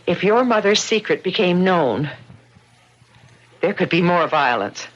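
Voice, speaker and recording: A woman's voice: lowish voice, animated, faint music behind. Composed, probing lady, muffled. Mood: probing, grave. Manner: polite, deliberate, earnest. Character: composed, probing married lady, family acquaintance. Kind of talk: radio mystery drama acting. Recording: muffled vintage radio drama recording.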